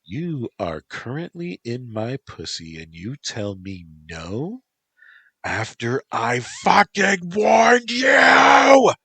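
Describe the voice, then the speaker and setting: A man's voice: on the low side, very animated, slow pace, hardly any pauses, slightly rough, no echo, voice pushed up, exaggerated voice. A man putting on a cruel, volatile young woman. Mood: furious. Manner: swings from cooing to screaming.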